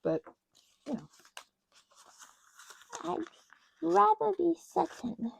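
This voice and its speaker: A woman rambling casually as she crafts, talking in a gushing, halting way and often interrupting herself. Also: very high voice, huge pitch swings, vocal fry, slight background noise, poor audio. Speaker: hobby ASMR and roleplay audio creator.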